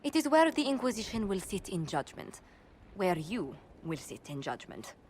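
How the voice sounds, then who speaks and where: An actress voicing a formal, measured woman advisor in a video game. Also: mid-range voice, sing-song, a little mellow, slight background noise, close to an everyday voice.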